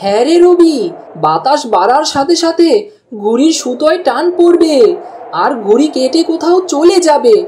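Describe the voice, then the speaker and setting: A woman's voice: high-pitched, animated, normal speed, warm tone, a little hiss or hum, poor audio. A woman voicing a cautious, sensible young village boy in a children's cartoon.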